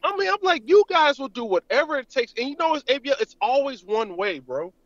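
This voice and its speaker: A man's voice: very high-pitched, sing-song, a little fast, fairly bright tone, no room sound. A man calling in to a talk show, talking heatedly and tacking bro and man onto his sentences. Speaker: caller. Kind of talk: call-in radio talk. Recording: muffled phone call.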